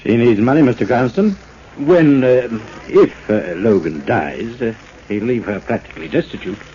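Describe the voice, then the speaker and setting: An actor playing a shrewd, calculating industrial promoter in a 1940s radio drama, speaking with pompous, halting deliberation. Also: male voice, mid-range voice, lively intonation, dark tone, a little hiss or hum, close to an everyday voice. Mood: calculating, persuasive. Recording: muffled 1940s radio recording.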